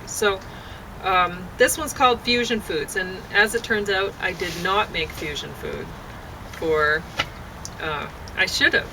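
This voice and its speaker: A woman chatting casually and haltingly, thinking aloud as she goes and often breaking off to rephrase. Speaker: vlogger and amateur poet. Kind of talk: casual rambling chat to camera. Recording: noisy, distant mic, traffic-like rumble.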